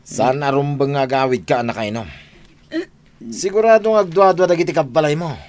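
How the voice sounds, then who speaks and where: A man's voice: on the high side, normal speed, darkish tone, lightly acted. An actor playing a wary henchman, a man with an Ilocano accent and an insistent, questioning manner in a radio drama. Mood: concerned, insistent. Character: wary henchman questioning his boss and an older woman.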